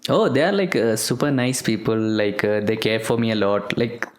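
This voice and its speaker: A man's voice: fairly low pitch, moderate pace, slight background noise. A young man, chatting easily as a podcast guest with frequent laughs and fillers. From Kerala, India. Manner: easygoing, laughs often, lots of fillers. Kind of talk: casual interview chat.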